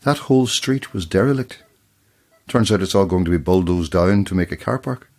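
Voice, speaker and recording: A man playing a protective, plain-spoken boyfriend in an audio drama, with a husky voice. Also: low-pitched, lively intonation, normal speed, darkish tone. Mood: concerned. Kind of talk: dramatic audio fiction acting.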